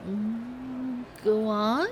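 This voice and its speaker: A woman's voice: fairly high pitch, little pitch movement, drawn-out words, a little mellow, slight background noise. A woman voicing a small, earnest young gnome adventurer with a questioning manner, in a fantasy audio story. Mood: earnest, puzzled.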